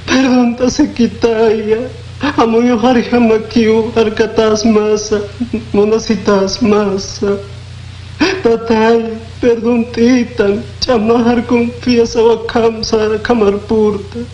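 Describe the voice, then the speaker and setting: Un hombre que interpreta a un penitente que suplica perdón en una radionovela. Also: male voice, extremely high pitch, flat delivery, slow, a little mellow, echoey room, dull audio, slight background noise. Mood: pleading. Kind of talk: radio drama acting.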